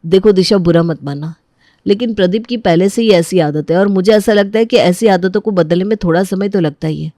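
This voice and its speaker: A woman acting an earnest, concerned young husband in a family audio drama, gentle and reasoning. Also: on the low side, brisk, no room sound, studio quality, lightly acted. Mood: earnest, worried. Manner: earnest, reassuring.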